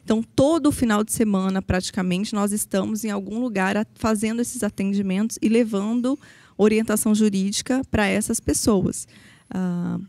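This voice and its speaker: A woman's voice: mid-range voice, normal speed, darkish tone, no echo. A woman from Brazil's Paraná region, a public defender, explaining her work earnestly in a podcast interview, with frequent hesitations. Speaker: federal public defender, guest.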